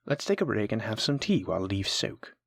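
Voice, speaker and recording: A voice actor plays a patient, kindly alchemy teacher from a fantasy audio roleplay. The voice is breathy and moves expressively up and down, recorded close and dry. The teaching is gentle and encouraging, aimed at a nervous beginner. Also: medium pitch, sing-song, fairly quick, no room sound, heavily acted. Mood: reassuring. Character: kind, patient alchemy teacher guiding a nervous new apprentice. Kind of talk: soft-spoken scripted fantasy audio roleplay.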